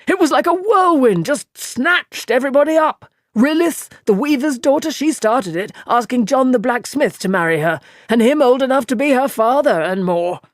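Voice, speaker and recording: A woman's voice: sits high, expressive, normal speed, few pauses, dry recording, studio quality, pitched above the performer's own voice. A woman voicing a chattering, easily flustered village man in an audiobook reading. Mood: gossiping. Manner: breathless gossiping, stammering when nervous.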